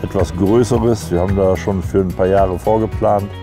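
A man's voice: deep voice, steady tone, over music. A middle-aged man casually explaining an outdoor carving event, hesitant with lots of fillers. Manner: halting, many fillers. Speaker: event organizer. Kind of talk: casual interview. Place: outdoors at a woodcarving site.

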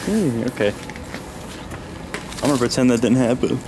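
A man's voice: medium pitch, expressive, moderate pace, noisy background. A young man co-hosting a casual vlog, bantering playfully while shopping in a big store, recorded on a handheld camera. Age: young adult. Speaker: vlog co-host. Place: large store.

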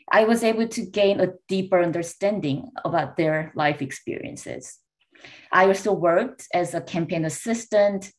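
A woman in her thirties whose first language is Korean, reading prepared panel remarks in a measured, formal way over a video call. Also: fairly low pitch, a little mellow. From South Korea. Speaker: panelist, researcher.

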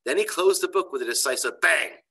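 A middle-aged man reads aloud as an elementary teacher would to children. His voice is bright and forceful, and it rises and falls a lot as he tells the story. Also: a little high, lively intonation, brisk, creaky, slightly rough. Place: bright indoor school room. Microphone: computer webcam on a video call.

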